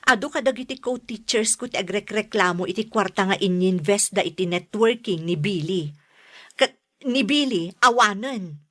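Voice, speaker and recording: A woman's voice: mid-range voice, moderate pace, fairly bright tone, natural voice. A woman with an Ilocano accent playing a cautious, admonishing elder sister in a radio drama. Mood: troubled. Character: cautious, sensible elder sister who teaches. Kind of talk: Filipino radio drama acting.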